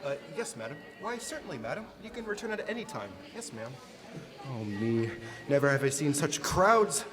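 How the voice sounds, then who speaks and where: A man plays a pompous store floorwalker for an old-time radio comedy. He is prim and indignant, with a fairly high, wildly animated voice echoing through a hall. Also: moderate pace, crowd noise. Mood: flustered, polite. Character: fussy, exasperated, pompous department-store floorwalker. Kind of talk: classic radio comedy acting on stage. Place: school theatre stage. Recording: live stage recording in reverberant hall.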